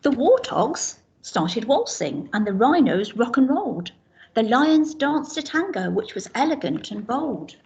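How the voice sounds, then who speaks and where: Casting: woman teacher reading a storybook, very lively melody, fairly high voice, muffled lo-fi recording. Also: unhurried. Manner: expressive rhyming storytelling, pointing out pictures. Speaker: infant school teacher. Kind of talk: reading a picture book aloud to children.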